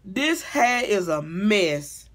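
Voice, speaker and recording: A woman's voice: mid-range voice, sing-song, extremely slow, a bit bright. A middle-aged woman giving a casual comedic rant in a blunt, profane, exasperated conversational way, recorded on a device mic in a room at home. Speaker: vlogger and hair stylist. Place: small home room, indoors.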